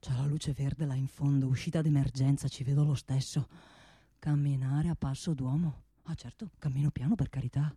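Radio theatre: blustering, restless male industrialist, soft dark tone, dry close sound, bossy and repetitive. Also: a bass voice, fairly even intonation, moderate pace, breathy edge, no room sound. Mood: muttering, self-calming. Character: blustering wealthy male gun-factory boss, provincial, restless. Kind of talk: solo stage monologue for radio theatre.

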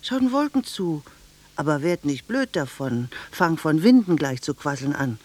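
An actress playing a blunt, wistful middle-aged village cashier in a German radio drama, speaking in a deliberate, intimate way. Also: female voice, low voice, sing-song, darkish tone. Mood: brisk, bossy.

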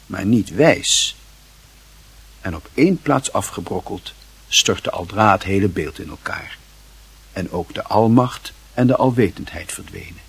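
A man narrating a radio play in a literary style, with measured, dramatic phrasing. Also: mid-range voice, slightly rough, dry recording.

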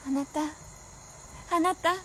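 A woman's voice: slow, fairly bright tone, slight background noise. A woman playing an ailing, proud female scientist and devoted wife in a radio drama, speaking in a very formal, genteel, composed way. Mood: tender. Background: music.

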